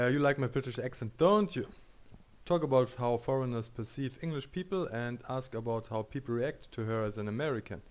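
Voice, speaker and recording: A man with a German accent plays through a game on stream and talks over it in a joking way. He reads the options out loud and mulls them over in loose, chatty English. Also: medium pitch. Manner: joking, reads choices aloud, thinks out loud. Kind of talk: casual let's-play commentary.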